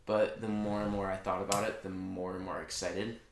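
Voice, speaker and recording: A man's voice: deep voice, level tone, a little fast. A teenage boy guest on a school podcast, chatty and upbeat. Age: teens. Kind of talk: casual chat. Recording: echoey room with faint hiss.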